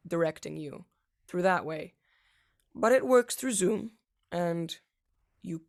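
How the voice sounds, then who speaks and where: A teenage boy answering interview questions about piano, halting, with many fillers and restarts. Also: on the high side, creaky. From United States. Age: teens. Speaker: young pianist interviewee.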